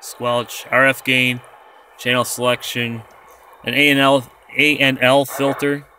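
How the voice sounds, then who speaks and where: A man's voice: mid-range voice, completely flat delivery, measured pace, background noise. An American man in a casual, rambling, self-deprecating vlog, showing off gear as he talks. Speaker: vlogger, former truck driver. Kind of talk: casual product showcase vlog. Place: inside a parked vehicle, later outdoors beside it.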